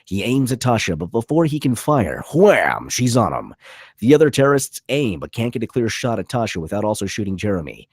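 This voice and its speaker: A man's voice: on the low side, sing-song, a little fast, slightly rough, dry recording, clean studio sound. A man narrating stage directions at a script table read, with vivid, punchy dramatic delivery. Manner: vivid, punchy reading of action.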